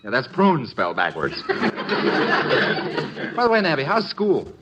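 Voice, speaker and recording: Distracted husband, very noisy recording. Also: male voice, mid-range voice, wildly swooping pitch, a little slow. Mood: absent-minded. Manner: absent-minded, offhand. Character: distracted, bewildered husband and father. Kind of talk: 1950s radio comedy acting. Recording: muffled vintage radio recording, loud noise.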